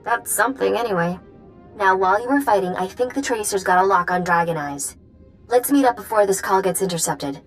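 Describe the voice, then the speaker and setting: A woman's voice: medium pitch, fairly quick, faint music behind, lightly acted. A woman playing a wry, wary underground informant in a video game, dry and matter-of-fact. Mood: urgent, guarded. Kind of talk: video game dialogue acting.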